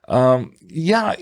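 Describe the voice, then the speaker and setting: A man co-hosting a podcast in a conversational style, with a halting delivery and frequent pauses. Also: mid-range voice, darkish tone. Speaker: podcast co-host.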